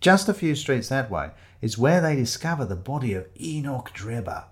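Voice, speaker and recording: A man voicing a theatrical male amateur detective, declaiming with grand dramatic pauses. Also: mid-range voice, sing-song, pitched above the performer's own voice, lightly acted. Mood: grandiose.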